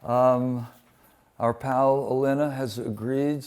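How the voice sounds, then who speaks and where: A man presenting and reading poems at a live event, with deliberate, dramatic phrasing and pauses. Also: mid-range voice, flat delivery, darkish tone. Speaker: poetry reader and presenter. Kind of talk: live poetry reading with informal introductions. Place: public poetry reading at a museum.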